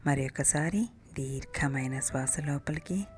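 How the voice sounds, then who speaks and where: A woman leads a guided meditation in a soft, breathy, slow and soothing way. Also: a bass voice, slight background noise. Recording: music bed behind the voice. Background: meditation music.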